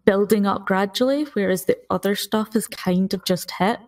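A woman's voice: medium pitch, steady tone, normal speed, darkish tone, far from the mic. A woman chatting casually as a player on a podcast panel. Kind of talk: casual chat.

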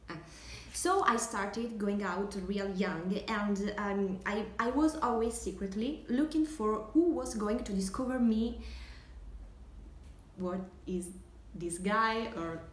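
Young actress as a fragile, dreamy woman, Italian accent, slow hesitant dramatic monologue, roomy recording. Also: medium pitch, lightly acted. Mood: confiding, hopeful. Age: young adult. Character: wistful dreamy woman remembering lost dreams of stardom. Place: small indoor room, seated at table. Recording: echoey room, faint hiss.

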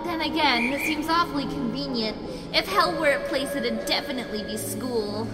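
Woman playing a very high-pitched snarky schoolgirl. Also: normal speed, natural voice. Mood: confused. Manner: snarky, dramatic. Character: cynical, excitable teenage schoolgirl who vlogs. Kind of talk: anime parody dub acting. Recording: loud music under the voice.

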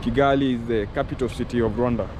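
A man's voice: mid-range voice, fairly even intonation, fast talker. A man in his forties with a Kenyan accent, chatting earnestly to viewers while walking through a city with a camera held at arm's length. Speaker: travel vlogger. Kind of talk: walking city tour vlog. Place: outdoors on city streets. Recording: outdoor street noise. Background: city traffic.

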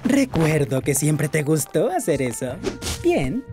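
A man's voice: fairly high pitch, sing-song, takes it slowly, mellow, faint music behind. A man voicing a gloomy, refined owl prince in a Latin American Spanish cartoon dub, with an aristocratic, dramatic delivery. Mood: coy, haughty. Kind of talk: animated cartoon fan dub.

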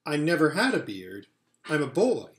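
A man's voice: fairly high pitch, wildly swooping pitch, normal speed, a bit bright. A man voicing a timid, confused young boy in a storybook, meek and deferential. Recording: roomy indoor home recording.

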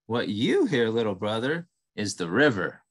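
A man doing a gentle, kindly storybook raccoon. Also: fairly low pitch, moderate pace, pitched below the performer's own voice. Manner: gentle, calm, reassuring. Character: kindly older male raccoon guide. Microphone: video call.

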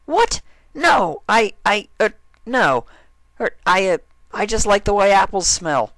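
A man's voice: high voice, big pitch swings, slow pace, fairly bright tone, no room sound, voice pushed up, full character voice. A man voicing a brash, cocky and defensive young female flying pony in a story reading. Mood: flustered.

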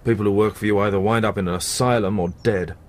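A man's voice: fairly low pitch, steady tone, fast, slight background noise, natural voice. A man playing a guarded, reproachful captive pilot in a radio drama. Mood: bitter, impatient. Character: bitter, wary captive German pilot, adult man.

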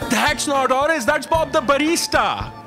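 A man's voice: very high voice, very animated, a little slow, a crowd in the background, heavily acted. Man playing fussy assistant, middle-aged, theatrical explainer, stage drama, music behind. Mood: explanatory. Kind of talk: staged audio drama acting. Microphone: stand microphone on a theatre stage.

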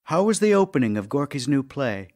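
A man's voice: mid-range voice, very animated, brisk, a little mellow, natural voice. A man playing a devoted, anxious writer husband in an audio drama of love letters, with a theatrical, yearning delivery. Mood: disappointed.

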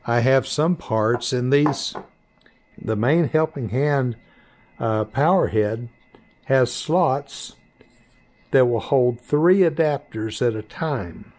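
An older man in his sixties or beyond, informally explaining and demonstrating products in deliberate, halting phrases with pauses. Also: mid-range voice, a little mellow, a little hiss or hum. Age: 60s or older. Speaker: maker presenting his 3D printed products. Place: small indoor workshop.

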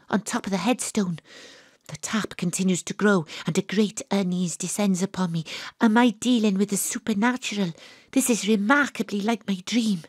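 A dogged, gruff female private detective played by an actress narrates her case for an audio drama. Her delivery is dramatic and atmospheric, at a middling pitch. The sound is close and dry. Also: darkish tone, no room sound, close to an everyday voice.

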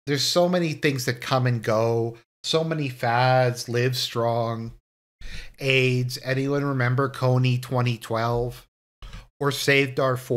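A man in a mid-pitched voice muses aloud in a casual, unscripted commentary. He restarts sentences, leans on key words, and lets his voice rise when he makes a point. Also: a bit bright. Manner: thoughtful, musing, with frequent restarts and rising emphasis. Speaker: video essay host.

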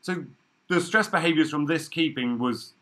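Man, thirties, bright voice, loose chatty talk with ums, laptop webcam, office room. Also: on the high side, normal speed. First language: English. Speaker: workshop speaker. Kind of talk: casual presentation. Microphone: laptop webcam video call.

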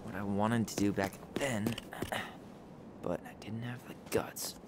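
A man's voice: fairly low pitch, steady tone, a little slow, noisy background, close to an everyday voice. Wry, guarded young American man, acted. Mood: soft, reflective. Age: young adult. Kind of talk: video game dialogue acting.

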